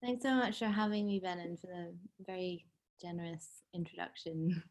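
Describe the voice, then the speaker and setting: A woman in her thirties from Hong Kong, a novelist speaking hesitantly as an interview guest, with many ums and soft whispered bits. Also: fairly low pitch, moderate pace. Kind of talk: casual interview.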